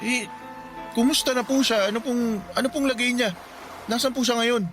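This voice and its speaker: An actor playing a worried, dutiful young eldest son who asks anxious, respectful questions in a radio drama. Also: male voice, very high-pitched, fairly even intonation, moderate pace. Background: music.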